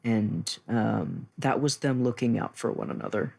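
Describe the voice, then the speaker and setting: A man playing a tabletop roleplaying game, narrating casually in a measured, explanatory way. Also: fairly low pitch, expressive, gravelly, dark tone.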